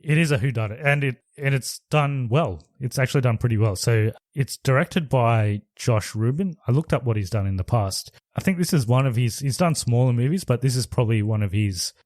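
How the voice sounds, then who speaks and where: A man's voice: medium pitch, expressive, a little fast, hardly any pauses, darkish tone, no echo, studio quality. A man co-hosting a podcast, casually retelling a movie plot in a loose, rambling way with restarts. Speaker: podcast co-host. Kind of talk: casual chat reviewing movies.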